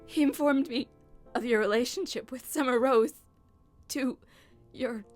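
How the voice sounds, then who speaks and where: A woman's voice: high-pitched, a little slow, faint music behind. A woman playing an earnest, anguished young heiress in love, pleading emotionally in a fan comic dub.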